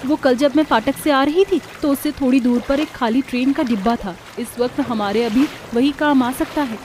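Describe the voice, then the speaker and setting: Woman acting poor village wife, high voice. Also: normal speed, darkish tone, a little hiss or hum, natural voice. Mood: resourceful, relieved. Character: poor, anxious but practical village wife. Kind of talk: dramatized storybook dialogue.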